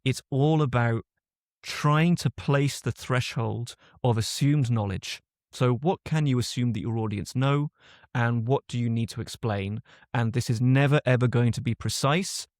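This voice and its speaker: A man's voice: mid-range voice, darkish tone, no room sound, studio quality. A British man, a guest author and linguist, giving thoughtful answers in a podcast interview and often restarting his sentences.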